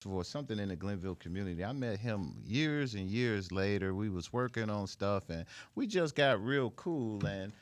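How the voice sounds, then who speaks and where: A man's voice: lowish voice, moderate pace, hardly any pauses, dry recording. A man hosting a radio show, telling an anecdote in casual, colloquial speech. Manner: colloquial, animated. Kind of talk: casual chat, anecdotal storytelling.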